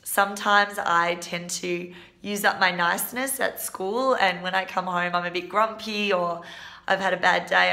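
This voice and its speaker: A woman in her twenties, a teacher, hoarse today, giving earnest, practical advice in a casual vlog. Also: fairly low pitch, sharp tone. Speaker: primary school teacher and vlog host.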